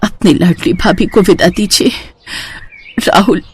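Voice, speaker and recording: Woman acting a brave ex-militant wife, tender, earnest, emotional, animated story. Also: mid-range voice, unhurried, slightly rough. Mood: tender, dying. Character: devoted female ex-militant sister-in-law, brave and tender.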